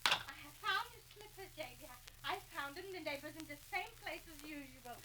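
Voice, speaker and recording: An actress playing a kindly, flustered, polite middle-aged housewife in a 1940s radio serial. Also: female voice, high-pitched, expressive, brisk, pushed and bright, exaggerated voice. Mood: busy, affectionate. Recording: noisy, crackly 1940s radio recording.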